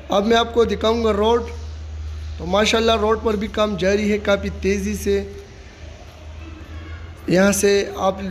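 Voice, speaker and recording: A man walking through a stadium construction site, steadily narrating updates and pointing things out to viewers. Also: sits high. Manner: steady informal commentary, repeats "you can see". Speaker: vlogger giving site updates. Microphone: handheld phone camera. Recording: long reverb, faint site noise.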